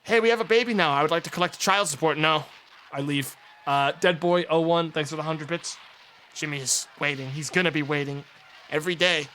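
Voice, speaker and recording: A young man streaming live, his voice hoarse and raspy. It sits a little high and has a bright, forward edge. He talks to chat in a loose, rambling way and lets sentences trail off. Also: brisk, slight background noise. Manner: rambling, offhand, trails into mumbles. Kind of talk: casual live-stream chat, thanking subscribers.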